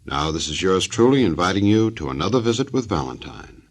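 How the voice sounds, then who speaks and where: A man's voice: deep voice, sing-song, fairly quick. A man announcing a 1940s radio drama in a scripted presenter's style.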